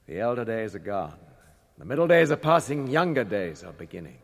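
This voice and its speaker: An actor playing a proud, scheming old wizard in a radio drama, with grandiose and scornful theatrical delivery. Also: male voice, fairly low pitch, sing-song, a little fast. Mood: persuasive, scheming. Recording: reverberant chamber radio drama sound.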